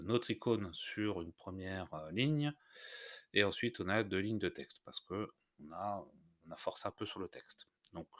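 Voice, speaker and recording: A man narrating an unscripted software tutorial, explaining step by step in a hesitant way. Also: deep voice, dry recording, studio quality. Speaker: tutorial host.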